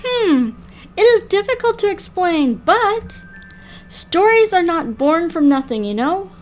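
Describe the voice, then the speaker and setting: High-pitched, courteous mysterious librarian played by a woman. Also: sing-song, unhurried, faint music behind, exaggerated voice. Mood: courteous, inviting. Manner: formal, polite, wistful phrasing. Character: mysterious, courteous female keeper of an otherworldly library. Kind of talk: visual novel character read aloud.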